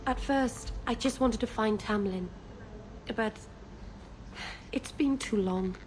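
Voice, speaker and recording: Earnest young elf exile. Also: female voice, a little high, takes it slowly, faint music behind. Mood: wistful. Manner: gentle, earnest. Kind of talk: video game voice acting.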